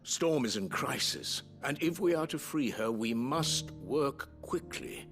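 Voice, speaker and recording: An actor playing a refined, discreet butler in a fantasy video game, formal and deliberate with dramatic pauses. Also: male voice, medium pitch, lively intonation, music in the background. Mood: grave, urgent. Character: refined, discreet male butler, measured and theatrical.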